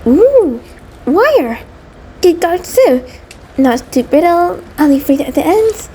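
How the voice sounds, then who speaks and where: A woman's voice: extremely high pitch, sing-song, slow pace, mellow, slight background noise, exaggerated voice. A woman playing an anxious, affectionate female centipede monster, nervous, stammering and apologetic, in an intimate roleplay audio drama. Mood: pleased, focused.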